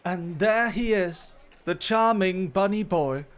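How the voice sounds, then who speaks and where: Man playing a sly, high-voiced dog boy host. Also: slow pace, a bit bright. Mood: smug, teasing. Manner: theatrical, silky politeness with teasing jabs. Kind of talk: ASMR roleplay acting addressed to the listener.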